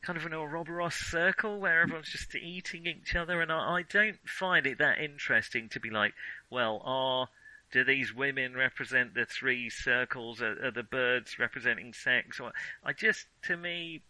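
A man's voice: medium pitch, moderate pace, sharp tone, dry recording, rough recording. A man co-hosting a film podcast, talking casually and digressively with firm opinions.

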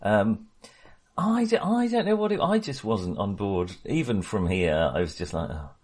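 A man's voice: fairly low pitch, sing-song, normal speed, a little mellow, slightly rough. A man co-hosting a casual film discussion, talking in a hesitant, wry and opinionated way. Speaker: podcast co-host.

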